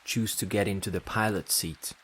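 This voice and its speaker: A man leading a guided meditation as narrator, speaking in deliberate short phrases with long pauses between them. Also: lowish voice, steady tone, fairly bright tone, slight background noise.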